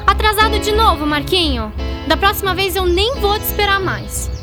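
A woman's voice: very high-pitched, moderate pace. A woman playing an imaginative, spirited schoolgirl, impatient and dreamy, in a radio drama. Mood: impatient teasing. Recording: music and busy background chatter behind.

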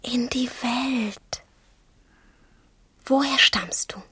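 An actress playing a naive, infatuated young girl in a radio drama, speaking shyly and curiously in a hesitant, husky half-whisper. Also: on the high side, very slow, background noise. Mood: shy, pleading. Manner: hesitant, wondering, childlike questioning. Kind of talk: intimate radio drama acting.

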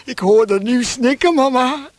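An actor playing a lonely, anguished former bank clerk confessing to his mother in a radio drama, husky, halting and intimate. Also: very high-pitched, no echo. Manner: halting, emotional, intimate delivery.

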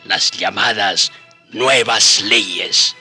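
A man narrating a historical radio drama with expressive, solemn, dramatic storytelling. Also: lowish voice, very slow, faint music behind.

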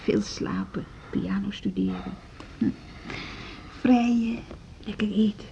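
An actress playing a weary, harried music school director in conversational, naturalistic radio drama dialogue. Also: female voice, on the high side, very animated, slow, vocal fry, dark tone, whispered, exaggerated voice. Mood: intimate, tired. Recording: slightly dull radio drama recording.

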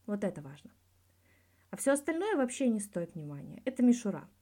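A woman's voice: mid-range voice, very animated, brisk, dark tone. A woman voicing a frank, colloquial male hockey star answering in an audiobook interview. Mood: defiant, heartfelt. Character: blunt, reflective male Russian hockey star giving an interview.

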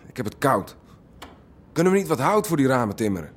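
A man playing a weary, complaining young squatter in a radio drama, with a husky voice. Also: medium pitch, very animated, fairly quick, a little hiss or hum, natural voice. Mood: grumbling.

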